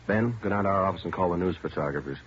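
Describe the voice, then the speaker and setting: A no-nonsense police detective in a vintage radio crime drama, played by an actor, deep-voiced and quick, every line clipped and flat. The dull old broadcast carries a faint hiss. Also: male voice, close to an everyday voice. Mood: firm. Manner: clipped, flat, matter-of-fact. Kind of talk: 1950s radio drama acting.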